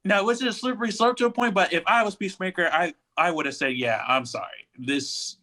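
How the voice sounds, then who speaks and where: A man's voice: high-pitched, a little fast, bright, forceful tone. A man speaking as a podcast panelist in a casual, conversational discussion of pop culture.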